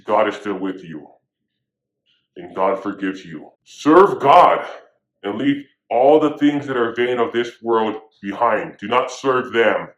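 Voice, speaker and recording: A man's voice: on the low side, animated, slow, muffled recording. A man playing a stern prophet who scolds his people, preaching in a casual, modern way full of slang. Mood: scolding. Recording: echoey room.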